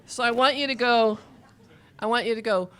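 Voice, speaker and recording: A woman's voice: a little high, steady tone, normal speed, fairly bright tone, clear, resonant tone. A woman hosting a live event from the stage, casually coaxing the crowd in a playful way. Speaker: event host. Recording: live event with audience noise. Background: faint crowd.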